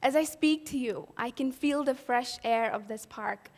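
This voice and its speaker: A woman's voice: sits high, measured pace. A woman from Pakistan giving an earnest personal storytelling talk at an event, posing rhetorical questions to the audience. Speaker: event speaker. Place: event hall. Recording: long hall reverb.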